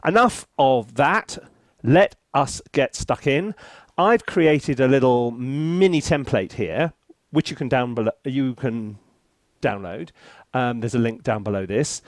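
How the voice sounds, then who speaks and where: Animated British man presenting, close dry sound. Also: medium pitch, unhurried, no echo. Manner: jokey, self-interrupting, theatrical asides. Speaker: composer reviewing music software, presenter. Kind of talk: casual, chatty tutorial to camera.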